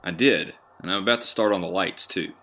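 A man's voice: low-pitched, brisk, gravelly, sharp tone, full character voice. A man acting a friendly, cautious suburban father and neighbor in an audio drama.

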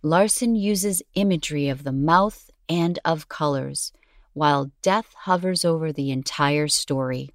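Low-voiced woman reading scripted narration. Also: no echo, studio quality. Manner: measured, articulate reading.

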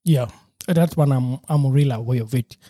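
A man with a Ugandan accent, hosting a podcast chat and speaking haltingly with frequent restarts. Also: mid-range voice, mellow, dry recording. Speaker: podcast host. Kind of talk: casual interview chat.

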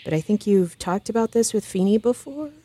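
An actress playing a calm, supportive counselor friend in an audio drama, coaxing in a measured, soothing manner. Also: female voice, medium pitch, close to an everyday voice. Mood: warm, encouraging. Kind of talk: fiction podcast audio drama acting.

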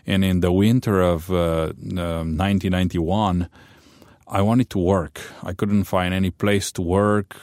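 Man, Romanian accent, middle-aged, very low voice, loud and animated, dry studio. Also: unhurried, dry recording. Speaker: pastor, guest. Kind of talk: conversational radio interview.

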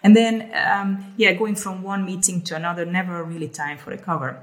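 A woman's voice: on the low side, flat delivery, a little fast. A middle-aged woman from Hungary, co-hosting a casual conversation and often repeating words as she thinks aloud. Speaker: co-host.

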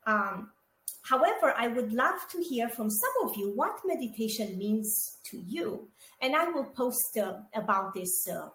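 A woman's voice: fairly high pitch, sing-song, a little slow, a bit bright. A middle-aged woman from Romania, co-hosting a podcast in a chatty, casual way, with many fillers and asides to her listeners. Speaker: podcast co-host. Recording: echoey room with faint background noise.

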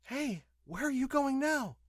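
A man's voice: extremely high pitch, expressive, a little slow, a bit bright, no room sound, clean studio sound, voice pushed up, exaggerated voice. A man voicing an anxious, rueful young woman in an audio story, with a hesitant, questioning way of talking.

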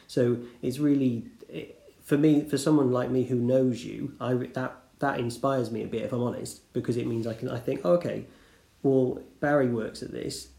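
A man hosting a relaxed podcast conversation over a call, his voice mellow and a little dark. He talks loosely, full of ums and restarts. The sound is roomy and echoey, as if recorded in a living room. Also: medium pitch, creaky. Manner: chatty, many fillers and restarts. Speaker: podcast host. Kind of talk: casual interview chat. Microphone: remote call recording.

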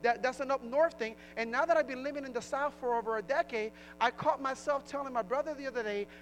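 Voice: sits high, quick pace, fairly bright tone, slight background noise, rough recording. A pastor from Puerto Rico with a New Jersey accent, preaching a sermon in a conversational way, often restarting phrases and rising into emphasis.

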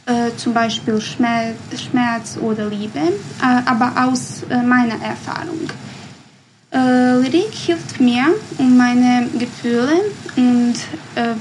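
A woman in her twenties with a Bosnian accent, a guest on a podcast, answering in careful non-native speech with many pauses and fillers. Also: on the high side, darkish tone, a little hiss or hum, poor audio. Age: 20s. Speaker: guest, poet. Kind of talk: podcast interview.

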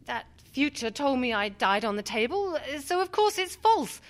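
High-voiced, defensive woman doctor played by an actress. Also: very animated, moderate pace. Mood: clipped, wary. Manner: defensive, argumentative. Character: grieving skeptical female doctor, former army chaplain, defensive. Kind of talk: radio drama acting. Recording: music behind.

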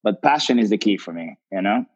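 A man's voice: on the low side, animated, brisk, highs cut off. A man, a brand ambassador on a panel, talking earnestly and filling his sentences with "you know, like". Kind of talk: panel discussion.

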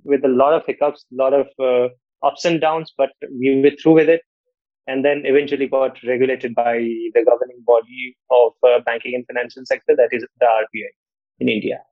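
A man from Nepal, a podcast guest who speaks in a measured way and often tags his sentences with "right?". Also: medium pitch, level tone, no room sound. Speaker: guest, fintech co-founder. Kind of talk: podcast interview. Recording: dull, dry lo-fi call audio.

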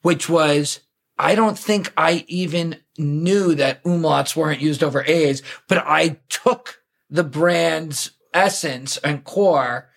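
Male podcast host, enthusiastic storyteller, husky tone. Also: fairly high pitch, slow. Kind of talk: casual podcast chat.

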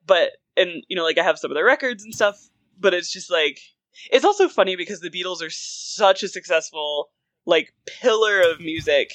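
A woman in her thirties talks as a podcast co-host in a bright, forward voice. Her delivery is casual and rambling, full of loose asides. The sound is dry and close. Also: on the low side, moderate pace, no room sound. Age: 30s. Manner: rambling, animated asides with frequent "like". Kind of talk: casual film and music chat.

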